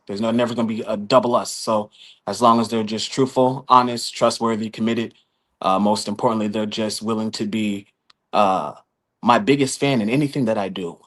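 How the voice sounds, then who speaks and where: Male contestant from Los Angeles, slightly low voice, earnest, halting delivery. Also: fairly bright tone. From Los Angeles, California. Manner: earnest, many um fillers. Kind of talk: casual live chat.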